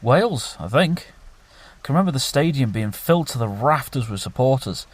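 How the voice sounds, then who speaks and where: An actor playing a wry, easygoing but grumbling survivor with a husky voice in an audio drama. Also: male voice, mid-range voice, expressive, fairly quick, natural voice. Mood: nostalgic.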